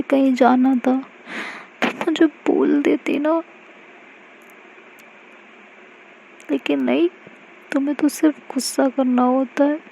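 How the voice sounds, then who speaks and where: A woman's voice: high voice, creaky, darkish tone, a little hiss or hum, pitched above the performer's own voice. A woman doing a tearful young woman scolding her partner. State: crying. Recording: slightly dull lo-fi recording.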